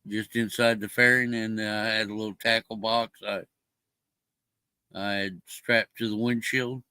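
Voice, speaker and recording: A man's voice: lowish voice, level tone, a little slow, pushed and bright, smooth, dry recording. An older man chatting casually on a livestream, rambling with many fillers and restarts. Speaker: livestream co-host. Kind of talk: casual chat.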